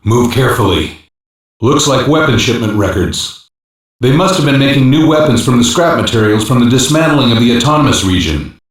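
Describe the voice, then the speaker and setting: A man's voice: medium pitch, expressive, brisk, natural voice. An actor playing a male intelligence hacker on a cyber-police team, giving matter-of-fact, clipped technical briefings as scripted video game lines. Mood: serious, focused. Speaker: voice actor in an English dub. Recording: very echoey, distant-sounding game audio capture.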